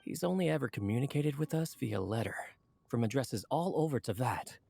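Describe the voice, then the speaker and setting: A man playing a courteous, earnest book editor in a video game, explaining things in a measured, formal way. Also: medium pitch, lively intonation, gravelly, mellow, slightly rough, dry recording, soft background music. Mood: earnest, explanatory. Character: polite, earnest, wordy male book editor. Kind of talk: video game character dialogue.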